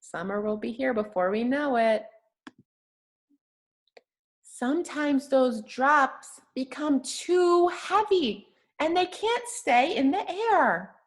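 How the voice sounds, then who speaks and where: Slow-paced woman reading aloud to young children. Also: high voice, expressive. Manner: simple, encouraging, patient teacher talk. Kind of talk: interactive children's read-aloud with questions and praise.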